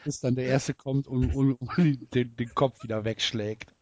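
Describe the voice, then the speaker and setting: A man's voice: medium pitch, fairly even intonation, measured pace, a little mellow. A man co-hosting a casual chat podcast, trading dry, playful remarks. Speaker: podcast co-host.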